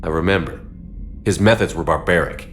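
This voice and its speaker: Deep-voiced resolute military commander. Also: male voice, fairly quick, fairly bright tone, slight background noise. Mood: firm, controlled. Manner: blunt, controlled, decisive. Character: firm, resolute human male military commander. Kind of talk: cinematic video game acting. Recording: game music behind.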